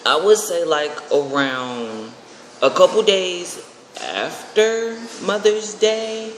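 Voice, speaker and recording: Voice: medium pitch, takes it slowly. Young adult vlogger with a mid-range voice, casual and rambling, recorded in a very reverberant, noisy room. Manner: rambling, excitable. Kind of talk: casual chat to camera. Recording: echoey room with clear background noise.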